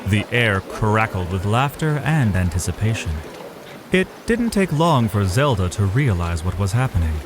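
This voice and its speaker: A man's voice: on the low side, big pitch swings, normal speed. A man giving dramatic scripted narration of a fantasy adventure. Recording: noisy ambience behind the voice.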